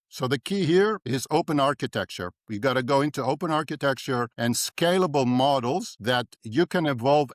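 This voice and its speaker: An older man from South Africa speaks as a dentist guest on a podcast, animated and fond of checking in with "right?". His voice sits at a middle pitch. The sound is dry and close. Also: dry recording. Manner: animated, repeats "right?" often. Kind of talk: podcast interview.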